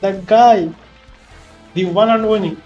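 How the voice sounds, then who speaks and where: A man's voice: high voice, moderate pace. A man from India with a Telugu accent, giving casual live-stream commentary on a game. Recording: muffled stream mic over game music.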